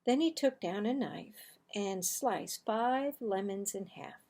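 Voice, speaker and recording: A woman reads a children's story aloud with deliberate, dramatic emphasis. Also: mid-range voice, animated, a little mellow. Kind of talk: expressive scripted read-aloud narration. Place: small room indoors at a desk. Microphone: computer webcam microphone at arm's length.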